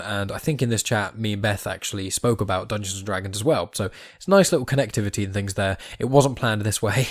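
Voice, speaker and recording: A man with a fairly low voice hosts a podcast in a casual, unscripted style. He talks fast and rambles chattily, tossing in self-deprecating asides. The sound is close and dry, with little room on it. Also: dry recording. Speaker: podcast host.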